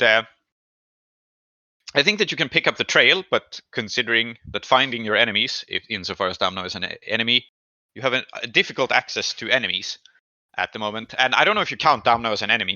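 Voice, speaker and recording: A man working as game master, narrating a tabletop session in a halting, deliberate way. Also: on the low side, bright, forceful tone, dry recording, lo-fi sound.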